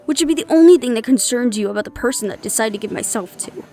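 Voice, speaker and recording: A woman's voice: fairly high pitch, lively intonation, quick pace, warm tone, faint music behind. A woman acting in an audio roleplay as a young adult daughter, defiant, loyal and argumentative, with an emotional, sarcastic edge. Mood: hurt, resolute.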